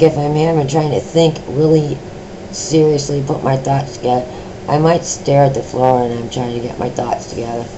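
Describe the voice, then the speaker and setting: Deep-voiced woman talking slowly, haltingly. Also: background noise. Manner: slow, halting talk with many fillers and restarts. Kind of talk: personal vlog monologue.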